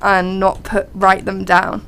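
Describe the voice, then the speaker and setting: A young woman, a radio guest, chatting in a thoughtful, hesitant way with frequent pauses. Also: medium pitch, completely flat delivery, vocal fry, fairly bright tone, slight background noise, rough recording. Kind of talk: casual chat.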